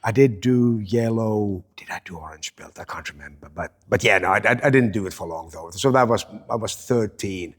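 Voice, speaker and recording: A man's voice: lowish voice, moderate pace, few pauses, slightly rough. A man in his fifties from Finland, a podcast guest chatting casually and telling stories with self-deprecating jokes and some restarts. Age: 50s. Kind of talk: casual chat.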